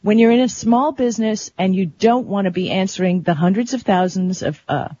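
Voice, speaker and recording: A tech talk show co-host explaining things in a chatty, colloquial, conversational way, with a husky, rough-edged voice. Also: mid-range voice, fairly quick, mellow, a little hiss or hum. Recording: dull, lo-fi audio.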